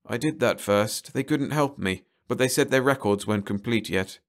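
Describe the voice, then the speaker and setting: A troubled man searching for his family, acted by a male narrator in an audiobook. He speaks slowly and hesitantly in a medium pitch, ill at ease and unsure of himself. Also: natural voice. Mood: troubled, insistent.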